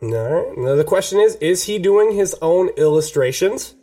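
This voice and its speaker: Guy hosting a podcast, lively up-and-down voice, laughs a lot, swears. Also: sits high, normal speed. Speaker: podcast co-host. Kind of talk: casual radio-style chat.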